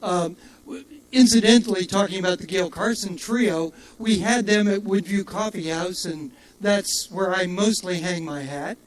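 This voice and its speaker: An older man with a high voice speaks into a stage microphone at an outdoor festival. He runs the show with casual, rambling announcements, full of fillers and little jokes at his own expense. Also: darkish tone, low-quality recording. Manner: rambling and self-joking, with many fillers. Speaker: festival emcee.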